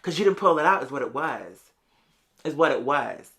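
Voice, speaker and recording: Bright, sassy storyteller in full gossip mode. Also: mid-range voice, normal speed. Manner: animated, sassy, slangy, addressing listeners as "girl". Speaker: podcast or live show host. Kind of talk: casual storytelling monologue to an audience.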